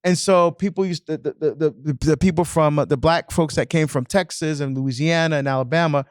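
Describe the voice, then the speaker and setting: A man's voice: fairly high pitch, steady tone, a little fast, clean tone, no echo. Man telling parish stories, dry sound. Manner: storytelling, frequent restarts and repeated words. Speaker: parish priest. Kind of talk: casual podcast discussion.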